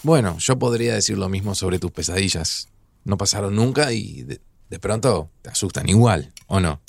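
A man playing an older Argentine father in an audio drama, speaking in a gentle, wistful, reflective way. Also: low voice, animated, normal speed, gravelly, dry recording, heavily acted.

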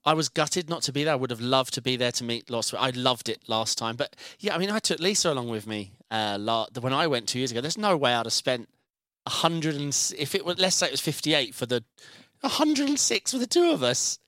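A man's voice: mid-range voice, expressive, brisk, sharp tone, no room sound, clean studio sound. A British man co-hosting a racing podcast, chatty and rambling, often correcting himself mid-sentence. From Britain. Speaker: podcast co-host. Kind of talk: casual podcast chat. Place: studio.

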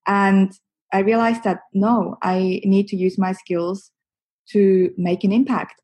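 A woman's voice: medium pitch, slightly rough. Chatty woman with a Swiss accent. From Switzerland. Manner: animated, chatty, laughs often. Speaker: podcast guest, marketing agency founder. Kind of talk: conversational interview.

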